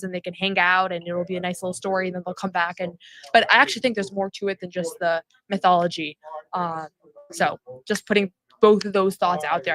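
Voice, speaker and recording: A woman's voice: mid-range voice, fairly bright tone. Female host, casual postgame chat, rambly with lots of ums. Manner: chatty, rambling with frequent fillers. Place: arena concourse at a summer league event.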